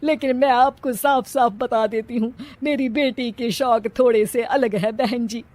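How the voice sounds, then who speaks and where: A woman's voice: on the high side, fairly even intonation, unhurried, darkish tone, close to an everyday voice. A woman voicing a frank, defiant middle-aged mother of the bride in a cartoon, with a plain-spoken, pointed manner. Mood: polite, frank.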